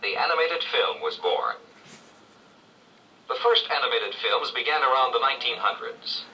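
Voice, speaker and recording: A man reading scripted 1970s educational narration with measured, clear storytelling phrasing. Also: mid-range voice, big pitch swings, pushed and bright, recorded from a distance, highs cut off. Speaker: read-along narrator. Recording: old read-along played back from afar, faint noise.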